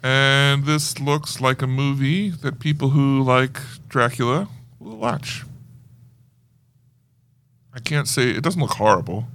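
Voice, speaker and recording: A man co-hosting a casual podcast discussion of films, talking in an animated, conversational way. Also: mid-range voice, takes it slowly, long pauses, slight background noise. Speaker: podcast co-host. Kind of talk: casual movie trailer discussion.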